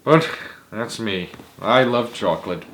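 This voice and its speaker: A man narrating a children's storybook aloud in a theatrical, emphatic way, with dramatic pauses and stretched words. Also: lowish voice, expressive, a bit bright, slight background noise, rough recording. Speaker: host of a read-aloud story series.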